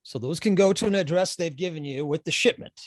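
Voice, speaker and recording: Man playing a high-voiced flippant sidekick. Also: a little fast, no echo, close to an everyday voice. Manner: flippant, offhand. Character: breezy, laid-back comic sidekick, brandy-swigging and flippant. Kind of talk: table read of a comedy play.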